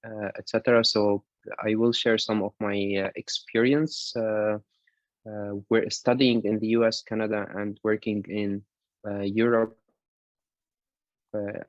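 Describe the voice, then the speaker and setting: A man with a Lebanese accent, an academic introducing himself on a panel over a video call in halting phrases. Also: lowish voice, no echo. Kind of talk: informal self-introduction on a panel. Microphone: online video call. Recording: dull lo-fi online call audio.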